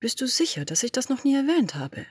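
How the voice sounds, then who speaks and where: Husky bossy lady boss giving orders, cold and clipped, dry close mic, a woman reading her. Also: sits high, big pitch swings, no room sound, heavily acted. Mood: impatient, imperious. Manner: commanding, brisk, dismissive. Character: imperious, domineering woman boss. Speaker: podfic reader. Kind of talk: German audio drama reading.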